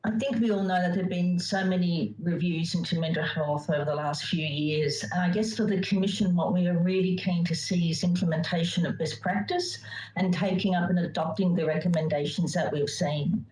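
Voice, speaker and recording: A woman's voice: fairly low pitch, steady tone, fairly quick, darkish tone, slight background noise. Composed woman on an echoey remote line. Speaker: mental health commissioner. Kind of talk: formal hearing testimony. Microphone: remote call line.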